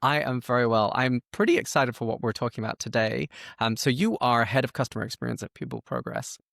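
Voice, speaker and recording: A man hosting a conversational podcast interview, speaking in slightly halting, repeated phrases. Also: mid-range voice, vocal fry, a bit bright, no room sound, studio quality.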